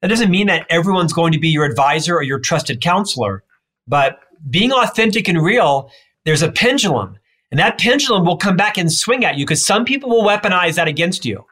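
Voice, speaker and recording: A man with a stutter speaks as a guest in an interview, his voice sitting a bit higher than most men's. He talks emphatically and rattles off his points in quick runs. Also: big pitch swings, pushed and bright. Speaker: leadership expert guest. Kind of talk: interview answers.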